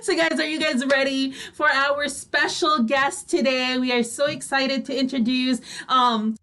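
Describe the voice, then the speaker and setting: A woman's voice: high-pitched, fairly even intonation, unhurried, bright, forceful tone. A woman co-hosting a radio show in a casual, chatty and agreeable way, peppering her talk with "like" and "you know".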